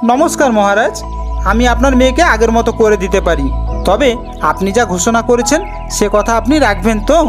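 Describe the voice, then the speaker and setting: A man's voice: very high voice, lively intonation, normal speed, over music, pitched above the performer's own voice. A man voicing a plucky, crafty young woodcutter's son in a cartoon fairy tale, exclaiming and repeating his words eagerly. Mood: pleading.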